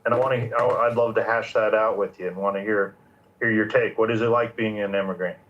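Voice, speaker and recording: A man hosting a live audio discussion who speaks in a halting, earnest way, searching for his words. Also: lowish voice, fairly even intonation, highs cut off, slight background noise.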